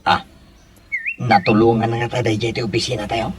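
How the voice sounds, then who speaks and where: A man's voice: medium pitch, little pitch movement, moderate pace, lightly acted. A Filipino man with an Ilocano accent playing a flustered, stammering married security guard in a radio comedy drama. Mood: puzzled. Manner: flustered, hesitant, stammering exclamations.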